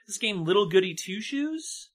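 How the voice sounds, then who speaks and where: A man's voice: high voice, big pitch swings, normal speed. A man co-hosting a podcast, chatting casually and giving his recommendations. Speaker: podcast co-host.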